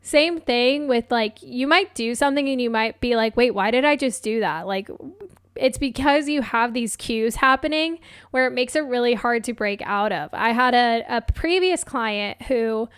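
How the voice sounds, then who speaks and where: A young adult woman hosting a solo coaching podcast, chatty and upbeat with lots of fillers, her voice a bit hoarse. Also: a little high, moderate pace, no echo, studio quality. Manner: chatty, upbeat, laughs often, many fillers. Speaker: podcast host and life coach. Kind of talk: casual solo podcast talk.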